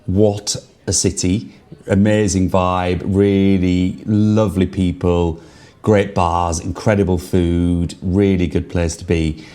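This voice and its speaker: A man's voice: low voice, flat delivery, takes it slowly, dark tone. A man co-hosting a podcast, chatting enthusiastically and laughing often. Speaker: podcast co-host. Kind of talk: casual chat. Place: remote call from abroad.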